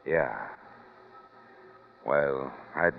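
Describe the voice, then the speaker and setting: A man's voice: extremely low pitch, extremely slow, creaky, a little hiss or hum, full character voice. A man playing a weary, wry hard-boiled private detective in 1940s radio acting style, with clipped, colloquial delivery. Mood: wry, subdued. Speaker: lead actor in a radio detective series. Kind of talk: 1940s radio acting, first-person noir narration. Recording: dull old radio recording with music.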